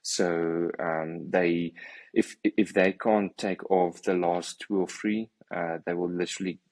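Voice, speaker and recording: South african guy, afrikaans first language, really low and monotone, lots of ums, dry sound. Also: slow, no room sound. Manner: hesitant, many ums and restarts. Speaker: guest, stabilizer maker. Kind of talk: casual interview chat.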